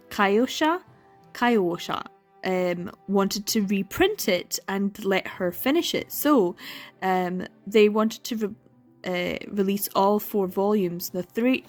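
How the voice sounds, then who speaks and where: A young woman chats through a manga she is reading for her vlog, casual and openly exasperated. She sighs, laughs and repeats herself for emphasis, at an ordinary middle pitch. Soft music runs faintly behind her. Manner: exasperated, sighing and laughing, dramatic repetition. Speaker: book vlogger.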